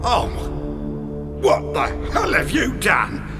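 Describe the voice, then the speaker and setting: A man's voice: high-pitched, wildly swooping pitch, slow pace, loud music behind the voice, exaggerated voice. An actor playing an aggressive, suspicious salvage crewman in a science fiction audio drama, barking accusations. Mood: angry, alarmed.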